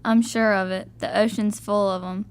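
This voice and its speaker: A practical, protective older sister played by a teenage girl in a radio play. She is matter-of-fact and barely varies her tone, with a creaky edge to the voice. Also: mid-range voice, little pitch movement, normal speed, lightly acted. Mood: reassuring. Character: Molly, practical, protective big sister, teasing and reassuring. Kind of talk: amateur radio play acting.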